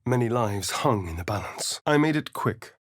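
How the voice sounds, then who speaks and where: A man's voice: mid-range voice, expressive, moderate pace, no echo, clean studio sound, natural voice. A man playing a sly, centuries-old vampire spawn in a video game, arch, flamboyant and teasing, with precise enunciation. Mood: measured, grave storytelling. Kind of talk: video game character voice lines and narration.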